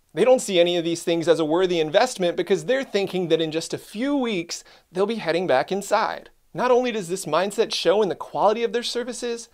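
A man in his thirties, earnest and animated, giving a scripted talk to camera about church ministry, recorded in a studio. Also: high voice.

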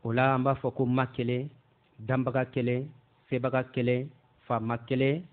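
A man hosting a radio show, greeting listeners and giving a measured, formal invocation. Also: mid-range voice, level tone. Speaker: radio host. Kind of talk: radio show intro and blessing. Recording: phone-like lo-fi radio sound.

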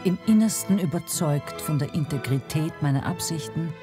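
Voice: medium pitch, normal speed. A narrator reading a scripted documentary narration. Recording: music bed under narration. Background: music.

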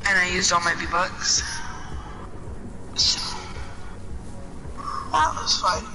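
A teenage girl chatting while she plays, often puzzled and distracted, with a run of quick exclamations. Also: lowish voice, big pitch swings. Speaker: gamer on voice chat. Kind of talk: casual game chat. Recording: game music playing clearly behind. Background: game music.

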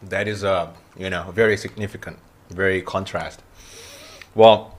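Young man from Indonesia, streamer host, low voice, slow easygoing delivery. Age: 20s. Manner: relaxed, joking, low-key, trails off quietly. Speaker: livestream host. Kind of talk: casual video chat with strangers. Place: small room with shelves and gaming chair.

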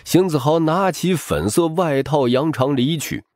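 A man's voice: on the high side, expressive, a little slow, a little mellow, dry recording, soft background music. A man narrating an audiobook as a dramatic scripted story.

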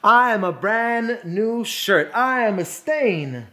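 A man performing a dramatic poetic monologue, phrasing deliberately and emphatically with pauses for effect. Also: sits high, lively intonation, bright, forceful tone. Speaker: spoken word performer.

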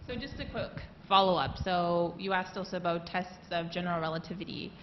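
A woman on a lecture hall panel explains clearly, gesturing as she talks. Her voice is on the low side for a woman. The sound is muffled, with a long hall reverb behind her. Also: a little fast. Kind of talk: panel discussion follow-up. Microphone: handheld mic. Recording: muffled hall recording with faint noise.

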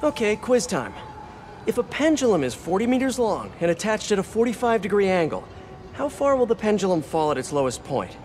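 A man's voice: high voice, very animated, a little fast, darkish tone, slight background noise. An actor with an American accent playing a good-natured, wisecracking young male superhero in a video game. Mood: easygoing, mentoring. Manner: wisecracking, conversational.

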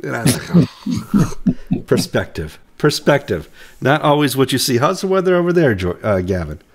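A middle-aged man hosts a casual news chat from home over a video call. He jokes and keeps things moving, and his voice runs deep while he gets over a cold. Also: big pitch swings, moderate pace. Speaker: host.

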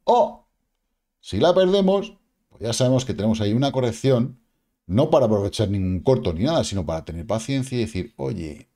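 A man's voice: mid-range voice, expressive, a little fast, dark tone. A middle-aged man with a Spain Spanish accent, talking casually to camera about the crypto market, joking and addressing his viewers directly. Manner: chatty, jokey, addresses viewers directly with asides and repeated "vale". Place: small indoor studio with purple lighting.

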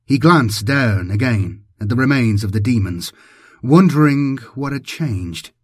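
Husky male audiobook narrator. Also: medium pitch, expressive, unhurried, dry recording, studio quality. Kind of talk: dramatic scripted audiobook narration.

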